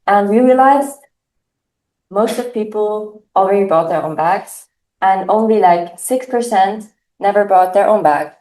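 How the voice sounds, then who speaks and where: A teenage girl from Germany, a native German speaker, presenting survey results with frequent pauses. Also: medium pitch, a little mellow, echoey room, low-quality recording. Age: teens. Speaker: student presenter. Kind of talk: class presentation.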